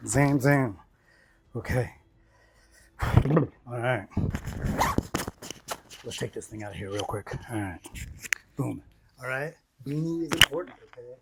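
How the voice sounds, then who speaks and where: A man's voice: medium pitch, takes it slowly, creaky, long pauses, heavy background noise. A man talking casually and jokingly to camera indoors while he gets dressed, in short slangy bursts full of catchphrases and exclamations. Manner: playful, peppers talk with exclamations and catchphrases; slangy, jokey self-narration in short bursts. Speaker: vlogger demonstrating his cycling rain gear. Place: indoors at home.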